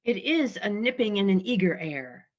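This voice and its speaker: A woman's voice: mid-range voice, sing-song, normal speed. A woman reading the part of a prince's loyal, watchful friend in a Shakespeare play over a video call. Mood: watchful, curious. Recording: slightly dull video-call audio.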